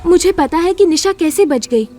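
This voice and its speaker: A woman's voice: very high-pitched, animated, normal speed, dark tone, soft background music. A woman acting an inquisitive, perceptive young friend in an audio drama, speaking earnestly. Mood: thoughtful.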